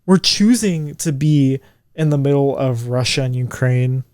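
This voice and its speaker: A man's voice: unhurried, darkish tone, no room sound. Man in his twenties, close dry podcast sound. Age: 20s. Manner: swears freely and voice jumps high when indignant. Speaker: podcast co-host. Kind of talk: casual podcast chat.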